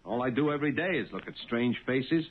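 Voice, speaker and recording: An actor playing an excitable, stubborn cab driver and husband in a 1970s radio drama, stammering and insistent. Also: male voice, medium pitch, fast, lightly acted. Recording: noisy narrow-band old radio broadcast.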